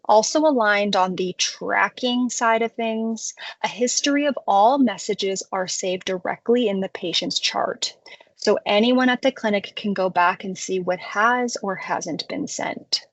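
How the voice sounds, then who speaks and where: Female software tutorial narrator, medium pitch, conversational explainer delivery, dry close-mic sound. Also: a little slow, no echo, rough recording. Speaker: software demo presenter. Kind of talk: scripted product tutorial narration.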